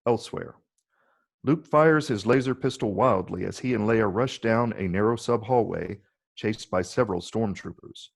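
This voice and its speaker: Man, lowish pitch, gravelly, husky, measured narration of script stage directions. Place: virtual read, recorded remotely.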